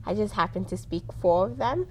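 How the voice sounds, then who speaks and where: A woman's voice: mid-range voice, expressive, fairly quick, mellow, a little hiss or hum. Young woman from South Africa, interview guest. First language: Somali. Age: young adult. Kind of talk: conversational interview answers.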